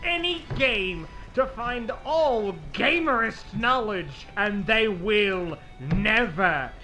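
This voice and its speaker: A man playing an excitable, theatrical gamer in a comedy skit, switching between bombastic announcing and offhand asides. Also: sits high, slow, soft background music, heavily acted. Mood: bombastic, excited. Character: excitable, theatrical male gamer recording his show intro. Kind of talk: comedic animated audio skit.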